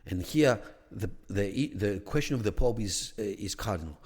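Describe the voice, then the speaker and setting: A man's voice: on the low side, expressive, normal speed, darkish tone, slightly rough, big-room sound. A man, raised in Greece, speaking as a podcast guest who explains religious history thoughtfully, with frequent restarts and "you know" fillers. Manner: thoughtful, many restarts and "you know" fillers, rising emphasis on key points. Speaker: podcast guest, theology academic. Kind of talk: conversational explanation.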